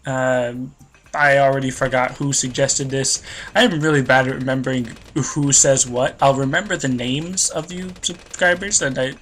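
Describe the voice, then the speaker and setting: A man's voice: medium pitch, steady tone, normal speed, fairly bright tone, a little hiss or hum. A young man narrating his video game as a let's play host, rambling and losing his train of thought, with a slightly stuffy nose. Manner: rambling, loses train of thought, self-deprecating. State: stuffy nose, voice a bit worn. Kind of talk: rambling gameplay commentary.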